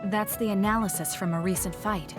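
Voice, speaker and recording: A young woman playing a composed, blunt and earnest platoon fighter in an anime game dub, with a measured, matter-of-fact delivery. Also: medium pitch, fairly even intonation, over music, lightly acted. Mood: calm, puzzled.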